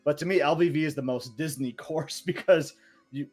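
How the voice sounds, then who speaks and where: A man co-hosting a podcast, chatting casually and telling stories with enthusiasm and quick asides. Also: a little high, faint music behind. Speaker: podcast co-host. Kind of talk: casual chat.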